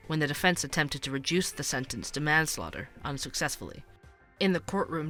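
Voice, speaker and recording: A man's voice: a little high, sharp tone. A man playing an inquisitive, earnest true-crime podcast host, delivering scripted narration.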